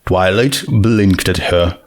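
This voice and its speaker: A man's voice: low voice, slow. A man narrating a written comic story as a scripted audiobook-style reading. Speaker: narrator of fan fiction.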